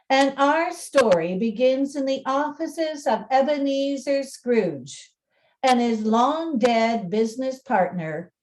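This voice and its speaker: Slow, high-voiced woman narrating. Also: poor audio. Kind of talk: scripted storytelling narration.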